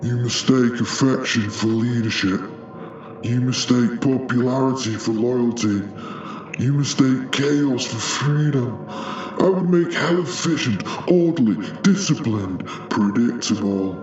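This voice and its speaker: A man's voice: mid-range voice, measured pace, lightly acted. A man playing a pompous, power-hungry ancient demon duke, gloating and ranting grandly in an audio drama. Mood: haughty, scornful. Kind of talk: audio drama villain acting. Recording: distant, echoey, muffled voice. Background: music.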